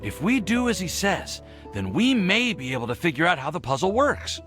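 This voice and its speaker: A man's voice: sits high, sing-song, normal speed, a bit bright, faint music behind. An actor voicing a mild, hesitant, polite young man in a video game. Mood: thoughtful. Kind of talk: video game character acting.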